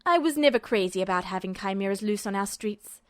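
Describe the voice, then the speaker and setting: A woman playing a calm, polite, calculating male army officer with quiet menace in a story reading. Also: mid-range voice, fairly quick, dry recording, clean studio sound, pitched below the performer's own voice, natural voice. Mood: calm, detached.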